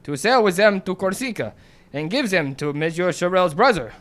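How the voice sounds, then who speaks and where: An indignant Corsican smuggler played by a man in a radio drama. The voice is high for a man and swings widely, with a French accent and emphatic, broken English. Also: lively intonation, moderate pace, fairly bright tone, a little hiss or hum. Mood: aggrieved. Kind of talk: old-time radio drama acting.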